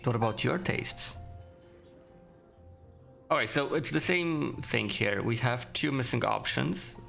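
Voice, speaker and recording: A man's voice: medium pitch, animated, slow, gravelly, a bit bright, faint music behind. A man giving casual commentary as he plays through a video game. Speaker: gaming streamer.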